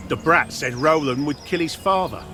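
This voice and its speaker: An actor playing a frightened, rough-spoken dungeon guard in a video game, giving an urgent, blunt report. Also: male voice, on the high side, normal speed, bright, forceful tone, slight background noise. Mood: shaken. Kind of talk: dramatic video game cutscene acting.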